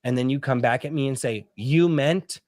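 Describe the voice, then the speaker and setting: A man hosting a heated podcast discussion, talking emphatically, swearing and slowing down to stress his points. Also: medium pitch, darkish tone, no echo, clean studio sound. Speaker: host.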